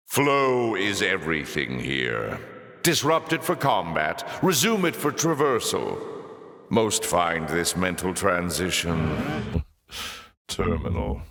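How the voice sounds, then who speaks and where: A haughty demon lord from a video game, speaks in a low voice that swoops dramatically. He draws out his mocking words with pompous relish. Long reverb trails every phrase. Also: a bit bright, full character voice. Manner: pompous, dry sarcasm with drawn-out theatrical delivery. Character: sardonic, theatrical demon lord taunting champions in his arenas. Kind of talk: scripted video game character voice lines. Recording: echoey hall with long reverb.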